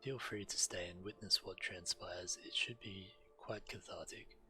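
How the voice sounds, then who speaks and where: A man's voice: low voice, steady tone, a little slow, sharp tone, soft background music. A male game streamer reading fantasy quest dialogue aloud and adding the odd comment.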